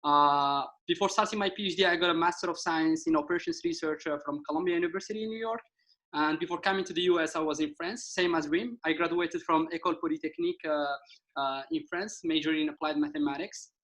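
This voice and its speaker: A man's voice: a little high, fairly even intonation, quick pace, a bit bright, low-quality recording. A young man from Morocco speaking as a guest on a radio talk show, precise and full of hesitations. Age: young adult. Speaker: guest, PhD graduate. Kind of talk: casual chat.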